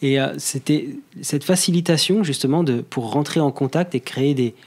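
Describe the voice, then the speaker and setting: A man's voice: on the high side, moderate pace, a little mellow. Hesitant man, podcast guest. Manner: hesitant, many fillers and restarts. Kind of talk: casual conversation.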